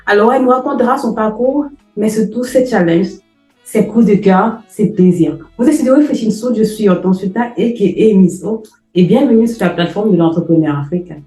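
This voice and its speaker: A woman's voice: mid-range voice, fairly quick, warm tone, echoey room, faint music behind, rough recording. A woman hosting an interview, speaking with effusive emphasis and repeating phrases for effect. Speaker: host. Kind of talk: emphatic podcast introduction and interview.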